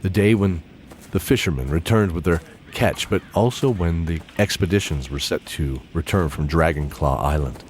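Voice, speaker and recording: A man's voice: low voice, moderate pace, a little mellow. A man narrating a scripted fantasy audio drama in storybook style. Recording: clear background ambience noise.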